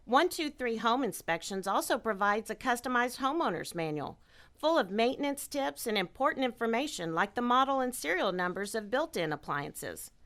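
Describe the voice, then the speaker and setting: A woman performing a scripted radio ad, with an earnest sales-pitch delivery. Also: very animated, normal speed, a bit bright. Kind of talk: scripted ad dialogue.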